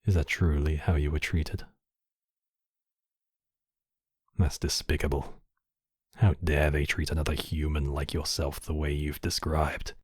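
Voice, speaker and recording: Man acting a very deep, husky demon king. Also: level tone, a little mellow, no echo, studio quality. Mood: indignant, menacing. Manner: slow, regal, formal phrasing. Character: possessive horned demon king, commanding yet compassionate. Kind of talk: intimate ASMR roleplay acting.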